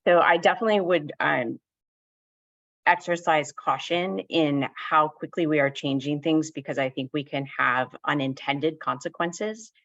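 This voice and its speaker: A woman candidate giving measured, polished statements over a video call. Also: low voice, fairly bright tone, dry recording, muffled recording. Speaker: county board candidate.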